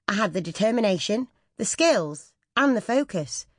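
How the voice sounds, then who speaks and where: A woman's voice: mid-range voice, lively intonation, moderate pace, no echo, clean studio sound. A woman reading a scripted first-person memoir as an audiobook narrator.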